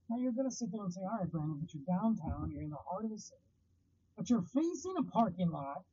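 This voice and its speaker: A man's voice: high voice, warm tone, slightly rough, highs cut off. A man hosting his own podcast, talking casually and rambling in a self-deprecating way from his parked car. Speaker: podcast host. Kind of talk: casual solo podcast chat.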